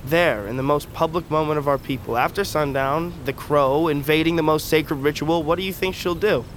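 An actor in an audio drama playing a bitter, sardonic young exiled brother of royal blood. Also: male voice, fairly high pitch, normal speed, slight background noise, close to an everyday voice. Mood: challenging, bitter. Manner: sardonic, wry. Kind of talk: audio fiction drama acting.

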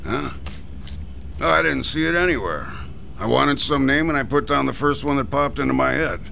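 An actor playing a chatty, folksy gravestone carver who sighs about the heat, in classic radio drama style. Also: male voice, mid-range voice, animated, normal speed, creaky, sharp tone, slight background noise, exaggerated voice. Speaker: stonecutter.

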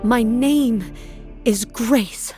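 An actress playing a solemn, reflective space-faring poet in an audio drama, with measured, lyrical first-person narration. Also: female voice, fairly high pitch, dark tone, soft background music. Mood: solemn, resolute. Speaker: narrator and lead character.

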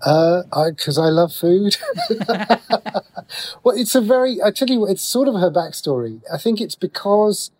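A man's voice: sits high, animated, unhurried, darkish tone. A middle-aged man speaking casually as an interview guest, explaining things in a chatty, rambling way. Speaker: writer and radio drama creator, interview guest.

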